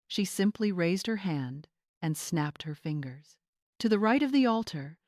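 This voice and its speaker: A woman's voice: lowish voice, darkish tone, no room sound, clean studio sound. A woman reading dramatic scripted story narration.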